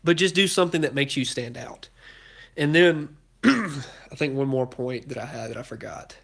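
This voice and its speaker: A man's voice: mid-range voice, lively intonation, moderate pace, creaky, a bit bright. Young guy, congested, rambling live-stream host filming on his phone in a garage. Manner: rambling, lots of fillers and restarts. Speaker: car YouTuber hosting a live stream. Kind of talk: casual live stream, answering chat questions. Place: cluttered home garage with a project car. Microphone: phone held while filming.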